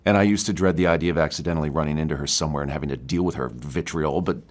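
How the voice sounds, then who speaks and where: A condescending murder suspect in an audio drama, with a very low voice. He is sardonic and evasive, wrapping his denials in mock politeness and the odd stammer. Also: racing. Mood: defensive, condescending.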